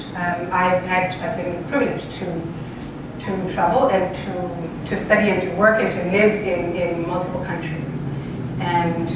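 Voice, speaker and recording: Woman from southern Africa, public speaker, slightly low voice, halting delivery, very echoey room with clear background noise. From Zambia and Botswana. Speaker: university faculty member, guest speaker. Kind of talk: public talk on leadership. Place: large echoey room, live talk. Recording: echoey hall, distant mic, noisy rumble.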